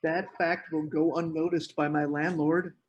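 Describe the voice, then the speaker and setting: A man's voice: fairly high pitch, little pitch movement, moderate pace, husky, lo-fi sound. A man at a screenplay table read playing a scheming, charming literary man with formal, earnest phrasing. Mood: eager, polite.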